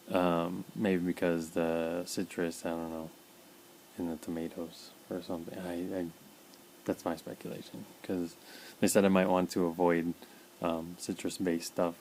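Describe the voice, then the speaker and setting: A man talking casually in a personal video diary, halting with fillers and repeated words, his mouth dry. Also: low-pitched, dark tone, slight background noise, rough recording. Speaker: vlogger documenting his illness.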